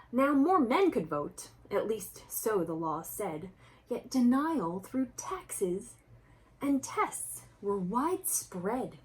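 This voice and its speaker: A woman's voice: medium pitch, darkish tone, rough recording. A woman reading a picture book aloud to children expressively, breaking off to explain things directly to young listeners. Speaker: children's book reader and presenter.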